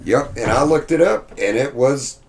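Man putting on a gravelly, raised-pitch podcast co-host. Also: expressive, normal speed, a little mellow. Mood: eager. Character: blunt, prickly male podcast co-host.

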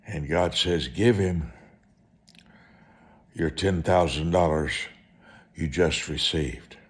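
A male preacher with a very deep voice delivers a personal testimony. He speaks slowly and deliberately, in a folksy way, leaning on repeated phrases to drive each point home. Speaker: preacher, evangelist.

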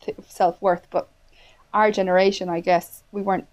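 A woman's voice: mid-range voice, flat delivery, normal speed, a little mellow, highs cut off. Chatty Irish woman in her thirties. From Ireland. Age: 30s. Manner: candid, chatty, swears casually. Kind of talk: casual conversation.

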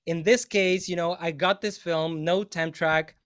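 A man speaks as a film composer on a discussion panel. His voice is smooth and resonant, pitched a little high for a man, and he meanders through his answers with lots of fillers and restarts. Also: steady tone, measured pace, a bit bright. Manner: rambling, many fillers and restarts. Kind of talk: casual panel talk.

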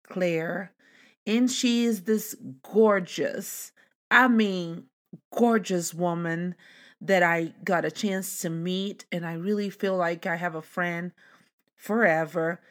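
Slow-talking enthusiastic woman podcast host. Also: medium pitch. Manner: gushing, enthusiastic praise. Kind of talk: podcast interview.

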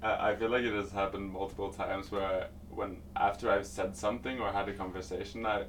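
A young man with a Norwegian accent chatting casually in slightly halting phrases. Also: fairly low pitch, little pitch movement. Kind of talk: casual group chat. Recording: faint background noise and ambience.

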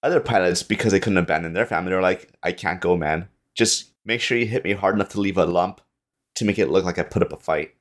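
A man's voice: low voice, fast. A man guesting on a podcast, telling stories informally and slipping into quoted dialogue. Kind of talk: casual storytelling.

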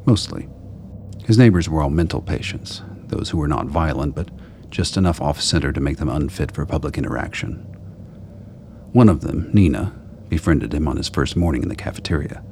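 Very deep gravelly male narrator. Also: darkish tone, slight background noise. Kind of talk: scripted radio play narration.

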